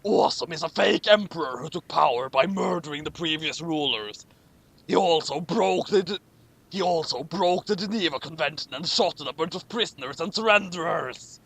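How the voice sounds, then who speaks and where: Stern old emperor read by a man, formal and declamatory, bright tone, parody storybook. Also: fairly high pitch, animated, moderate pace, vocal fry, slightly rough, full character voice. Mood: stern, accusing.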